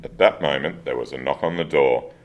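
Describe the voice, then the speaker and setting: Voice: very deep voice, brisk, over loud music. A narrator reading scripted storytelling for a children's radio play.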